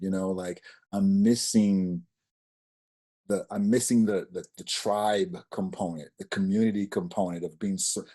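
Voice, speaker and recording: A man in his forties, a spoken word poet, talking casually as an interview guest and telling stories with emphatic rises. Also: on the low side. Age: 40s. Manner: expressive storyteller, emphatic rises.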